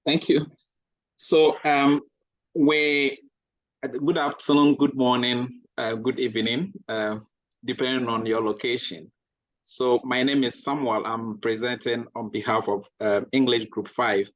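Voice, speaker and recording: A slow-speaking man presents for his group in an online workshop. He is polite and halting, leaning on fillers. The call audio is dry and somewhat dull. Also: mid-range voice, fairly even intonation, no room sound. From Ghana. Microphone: video call.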